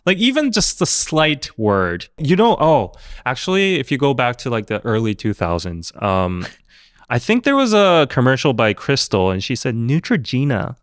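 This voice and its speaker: A man's voice: medium pitch, big pitch swings, moderate pace, dry recording, studio quality. A man hosting a podcast in casual chat, chatty and laughing often, often trailing off mid-thought. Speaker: podcast host.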